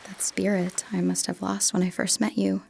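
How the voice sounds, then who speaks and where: A woman playing Marie, a wistful, teasing young woman in an audio drama, with a slightly gravelly voice and soft breathy asides. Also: medium pitch, little pitch movement, normal speed, a little hiss or hum.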